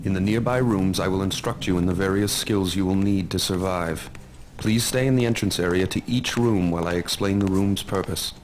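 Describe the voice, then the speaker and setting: An actor playing a composed, stern mentor who gives measured, formal instructions in a video game tutorial. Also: male voice, sits low, lightly acted. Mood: calm, instructive. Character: stern, composed male mentor training a young thief. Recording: game audio with noisy background.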